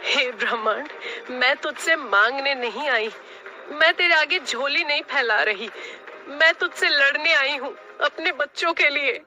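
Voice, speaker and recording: A woman's voice: high-pitched, a little slow, sharp tone, full character voice. A woman voicing an anguished, defiant mother bird in a cartoon story, with impassioned, dramatic delivery. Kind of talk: Hindi cartoon story acting.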